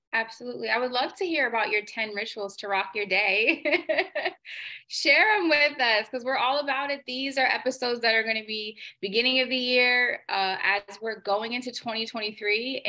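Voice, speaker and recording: A woman hosting a podcast interview, effusive and gushing, quick to laugh. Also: a little high, sharp tone. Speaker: podcast host. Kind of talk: casual interview chat.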